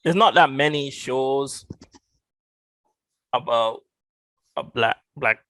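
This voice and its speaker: A man's voice: mid-range voice, slow, sharp tone, dry recording. A man from Barbados co-hosting a podcast, chatting loosely and swearing freely. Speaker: podcast co-host. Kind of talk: casual chat.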